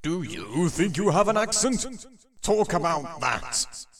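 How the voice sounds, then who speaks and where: A man reading out questions in a plain, measured way. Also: a little high, sing-song, far from the mic, crowd noise. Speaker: questionnaire reader. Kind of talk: reading out prompts.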